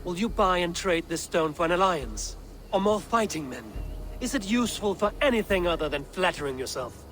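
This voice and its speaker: Game role: gruff, blunt Norse warrior, slightly raised pitch, faint music bed, no patience for mysticism. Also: male voice, lively intonation, moderate pace, fairly bright tone. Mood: blunt, impatient. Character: blunt, loyal, skeptical Viking warrior. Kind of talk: video game cutscene acting.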